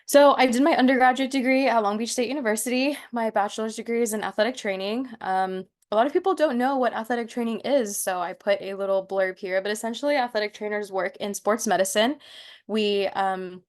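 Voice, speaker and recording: A woman's voice: a little high, brisk. A young woman in her twenties, born in Mexico, giving an encouraging, casual presentation on a video call with fillers. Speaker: PA student speaker. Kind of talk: online workshop presentation.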